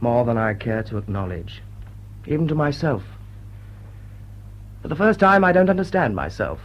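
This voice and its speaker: Husky, animated dashing lord. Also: male voice, medium pitch, normal speed. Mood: smitten, earnest. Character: dashing upper-class bachelor lord, cynical, smitten, teasing. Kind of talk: period drawing-room radio drama. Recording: dull, muffled audio.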